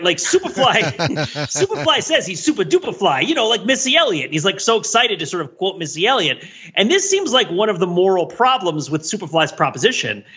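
A man on a podcast panel giving animated, explanatory pop-culture analysis in a casual way. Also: high voice, breathy edge. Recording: dull, lo-fi voice track.

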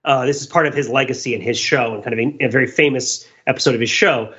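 Male podcast co-host, bright forceful voice, rambling enthusiastic explainer, slightly dull recording. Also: mid-range voice, fast talker. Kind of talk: casual analytical discussion.

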